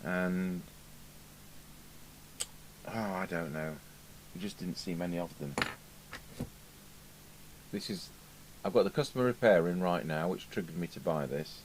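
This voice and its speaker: A middle-aged British man with a low voice talks through a hands-on technical demonstration. He muses aloud without a script, pausing as he works things out. The workshop recording carries clear background noise. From United Kingdom. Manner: unscripted, musing aloud, hesitant pauses. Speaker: radio repair technician. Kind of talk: informal hands-on workbench demonstration. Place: indoor radio repair workbench.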